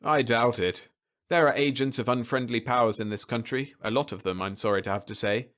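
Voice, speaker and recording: A man's voice: medium pitch, brisk, a bit bright, lightly acted. A man voicing an observant military colonel in a story, with a precise, matter-of-fact manner. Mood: assured, analytical.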